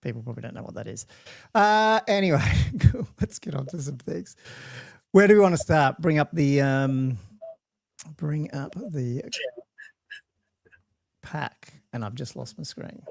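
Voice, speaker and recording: A man's voice: fairly high pitch, expressive, a little slow, gravelly, pauses often, no echo. A man with an Australian accent, hosting a chatty tabletop gaming podcast in a rambling, laughing way. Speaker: podcast host. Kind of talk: casual podcast chat.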